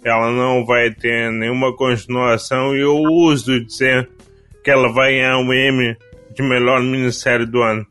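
A man, co-hosting a podcast and giving a relaxed, measured series review in casual chat. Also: mid-range voice, clear, resonant tone, faint music behind. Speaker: podcast co-host.

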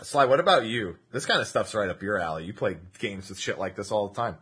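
A man hosting a casual group chat over an online voice call. His voice is bright and a little husky, and his pitch swings widely as he jokes and laughs along. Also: fairly quick. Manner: animated, laughs often, jokes about the show. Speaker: podcast host. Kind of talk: casual group chat about a video game.

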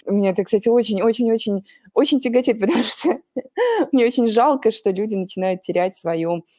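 A woman's voice: a little high, brisk, dark tone, dry recording. A young woman from Ukraine, a podcast guest in casual chat who talks animatedly, laughs often and drops slang.

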